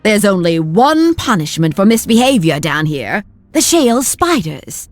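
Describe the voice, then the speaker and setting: An actress playing a haughty, cruel mistress of the mines in a video game, imperious and threatening. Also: female voice, mid-range voice, sing-song, a little slow, dry recording. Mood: gloating menace. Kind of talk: video game cutscene acting. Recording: music behind.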